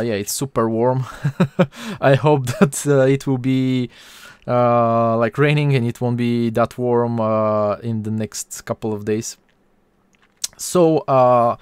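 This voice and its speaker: Clear-voiced Bulgarian man, halting delivery. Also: mid-range voice, fairly even intonation, darkish tone, no echo. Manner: halting, hesitant delivery with frequent pauses. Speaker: 3D artist hosting a stream. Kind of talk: informal live tutorial with Q&A.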